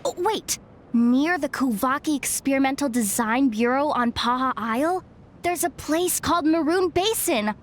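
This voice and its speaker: A woman playing an excitable, bossy and impulsive girl companion in a video game. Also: high-pitched, normal speed, slight background noise. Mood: excited.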